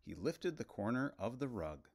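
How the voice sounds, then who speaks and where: A woman's voice: rumbling low, big pitch swings, normal speed, a little mellow, husky, no room sound. A woman narrating a children's storybook aloud as the reader.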